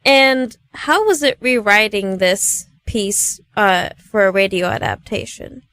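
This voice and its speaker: A woman's voice: mid-range voice, lively intonation, takes it slowly, fairly bright tone, no echo. Woman interviewer, very dry sound. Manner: agreeable, frequent affirmations. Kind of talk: casual interview.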